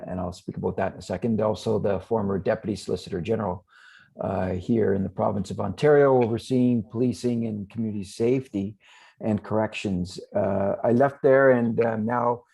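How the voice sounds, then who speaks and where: A man's voice: on the low side, normal speed, vocal fry, mellow, husky. A middle-aged man, a former police chief, introducing himself formally with many fillers. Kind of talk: panel introduction.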